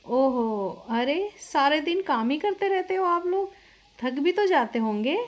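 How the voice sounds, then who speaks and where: A woman's voice: high-pitched, animated, a little slow, pitched above the performer's own voice. A woman voicing a polite young newlywed daughter-in-law who is eager to help, in a family story. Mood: curious, helpful. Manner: polite, obliging.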